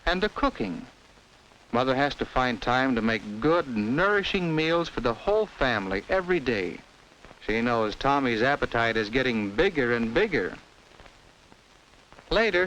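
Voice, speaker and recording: A man's voice: mid-range voice, fairly bright tone, a little hiss or hum, low-quality recording. An American man narrating a 1950s educational film in simple, instructive storytelling addressed to children, on a vintage film soundtrack.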